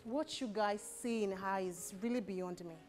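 A woman's voice: medium pitch, normal speed, a little mellow, soft background music, natural voice. A woman playing a protective, scolding sister in a screen drama. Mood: bitter, scolding. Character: protective, scolding woman, loudly defiant about her brother.

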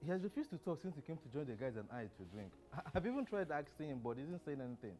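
Polite young male friend, hesitant and deferential, quick animated drama delivery. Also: a little high. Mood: apologetic, uneasy. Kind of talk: screen drama acting.

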